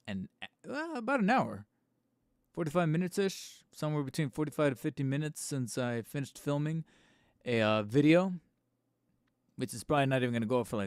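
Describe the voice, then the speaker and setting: A man hosting a live stream from home on a studio microphone, a little hoarse and tired, rambling casually with fillers and restarts and poking fun at himself. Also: mid-range voice, no room sound. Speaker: channel host streaming live. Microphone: studio microphone close up.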